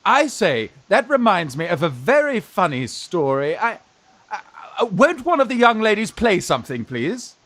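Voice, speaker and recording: A man in a radio farce playing a young fellow who poses as a gruff, flustered old aunt, halting and blustering. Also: high voice, sing-song, a bit bright, no room sound. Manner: blustering, halting, repeats words. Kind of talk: stage farce radio acting.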